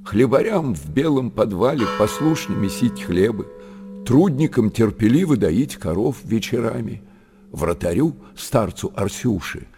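An actor narrating a monastery tale in a radio play, lyrical and measured, with dramatic pauses. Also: male voice, on the low side, expressive, dark tone, a little hiss or hum. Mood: lyrical, reverent.

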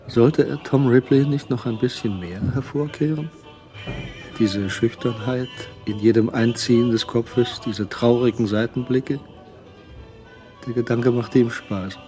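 A man's voice: mid-range voice, dark tone, close to an everyday voice. A man acting as the dry, observant narrator of a German crime radio drama.

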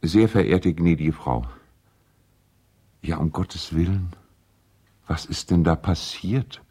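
A courtly gentleman lawyer in a seventies radio play. The voice is extremely deep and husky. He speaks with emphatic, deliberate courtesy and earnest concern for his friends. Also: exaggerated voice. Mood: alarmed, dismayed. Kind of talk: 1970s German radio play, letter monologue.

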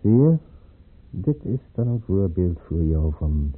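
On a narrow old radio play recording, a scheming aristocratic baron. He speaks low and husky, with wry, theatrical relish. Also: very animated, slow, a little hiss or hum, exaggerated voice. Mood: wry, conspiratorial. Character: sardonic aristocratic baron, conspiring Russian courtier. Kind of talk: Dutch radio play acting.

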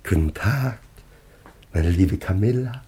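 A man's voice: lowish voice, expressive, takes it slowly, warm tone, husky, exaggerated voice. An actor playing a pompous, fussy, easily piqued baron and father in a German radio comedy of manners. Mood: ceremonious. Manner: pompous, declamatory. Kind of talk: German radio play of a classic stage comedy.

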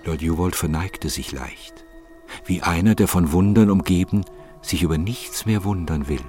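A man reading scripted literary narration in a measured, formal manner. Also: low-pitched, darkish tone, soft background music.

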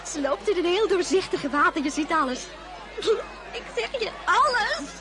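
A woman's voice: extremely high pitch, big pitch swings, moderate pace, crowd noise. An actress playing a giddy, gossiping young woman who chatters and giggles in a radio play. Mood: gossipy.

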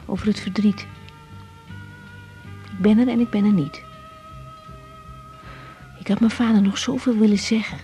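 A woman's voice: mid-range voice, fairly even intonation, normal speed, pauses often, dark tone, soft background music, natural voice. An actress playing an intense, caring young woman doctor with a Netherlands Dutch accent in a radio drama, speaking in a confiding, insistent manner. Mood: introspective, weary. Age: young adult. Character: intense young female doctor, caring but exasperated daughter. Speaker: lead actress in radio serial. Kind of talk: 1980s radio drama, intimate monologue and dialogue.

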